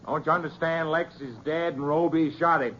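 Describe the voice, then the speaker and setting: Vengeful cowboy, male actor, American, rustic, stubborn, higher male register; muffled 1950s radio western. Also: fairly even intonation, moderate pace, fairly bright tone, lightly acted. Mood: bitter. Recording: dull, noisy vintage radio recording.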